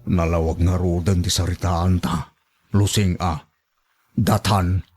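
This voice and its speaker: A man's voice: low voice, flat delivery, takes it slowly, darkish tone, husky, heavily acted. A man acting in a radio drama as a generous older pensioner who teases the woman he courts, with a chuckling delivery. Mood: teasing, pleased.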